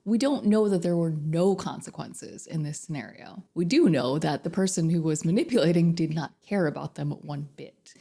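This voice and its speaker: Coach lady with a bit of a cold, kinda hoarse and gravelly, warm low-key tone, keeps asking viewers stuff. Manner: chatty, addresses viewers directly with questions. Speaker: narcissistic abuse recovery coach. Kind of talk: casual direct-to-camera advice talk.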